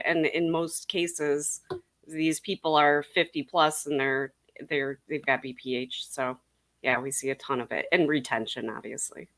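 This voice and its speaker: A middle-aged woman with a fairly low voice, speaking as a clinical panelist. She talks in a casual, chatty way and leans on repetition to stress her points. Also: a bit bright.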